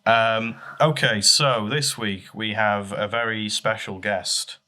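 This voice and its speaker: A man's voice: lowish voice, fairly even intonation, slow pace, sharp tone. A man hosting a comedy podcast in casual, joking banter. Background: music.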